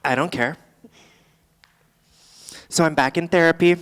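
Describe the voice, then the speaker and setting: A performer playing a boozy, world-weary middle-aged female comic, doing a rambling, deadpan stand-up monologue live on a theatre stage. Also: medium pitch, measured pace, clear, resonant tone, long reverb tail, natural voice. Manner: rambling, deadpan, theatrical, swinging between asides and outbursts.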